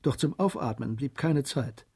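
An actor as the composed storyteller of a German radio drama, narrating a classic novel in a husky voice. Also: male voice, medium pitch, animated, moderate pace, darkish tone.

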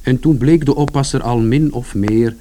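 Man playing mellow, chatty storytelling son. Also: mid-range voice, normal speed, slight background noise, natural voice. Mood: matter-of-fact recounting. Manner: colloquial, rambling, matter-of-fact. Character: chatty, wry working-class son recounting misadventures. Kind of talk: radio play first-person narration.

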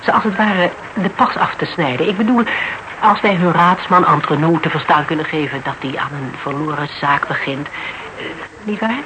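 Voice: medium pitch, moderate pace, natural voice. An actor in a radio play playing a formal, deferential yet probing legal adviser who speaks carefully and with hesitations. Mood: politely insistent. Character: polite, probing legal adviser, tactfully insistent. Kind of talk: Dutch radio drama acting, dialogue. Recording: noisy old radio broadcast recording.

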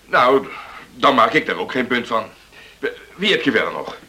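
A man acting a gruff, stubborn, seasoned boat skipper in a Dutch radio drama, blunt and grumbling. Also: mid-range voice, very animated, normal speed, bright, forceful tone, full character voice. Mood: gruff, doubting. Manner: blunt, grumbling, barking commands when at sea.

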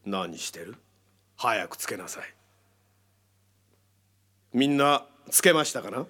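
An actor playing a controlling male psychiatrist in a radio drama, speaking in a measured, formal, hypnotically coaxing way. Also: mid-range voice, expressive, sharp tone, exaggerated voice. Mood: hypnotic, controlled.

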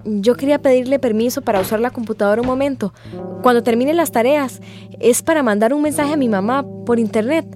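An actress playing a resolute, polite young migrant housemaid in a radio drama. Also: female voice, fairly high pitch, brisk, darkish tone, lightly acted. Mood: busy, deferential. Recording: music behind the voice.

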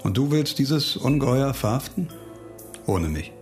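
An actor playing a grim, hard-bitten police investigator in a radio crime drama, speaking insistently and probingly, with music behind him. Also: male voice, medium pitch, very animated, a little slow. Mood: cold, resolute.

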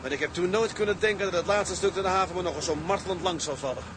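Reflective tugboat mate male actor, high-pitched, plain-spoken maritime drama, clear engine noise behind. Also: fast, close to an everyday voice. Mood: wistful. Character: reflective seasoned ship's mate on a tug.

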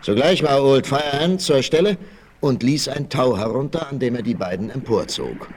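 An actor narrating a western adventure in an emphatic, suspenseful style for a 1960s radio play. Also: male voice, mid-range voice, moderate pace, darkish tone, lightly acted. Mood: dramatic, gripping. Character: dramatic storyteller of a western adventure. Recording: vintage vinyl radio play, faint noise.

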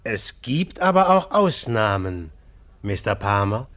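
An actor playing a suave detective and crime novelist, needling a suspect with questions in a vintage German radio drama. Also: male voice, lowish voice, sing-song, slow pace, a bit bright, soft background music, exaggerated voice. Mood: dry, needling.

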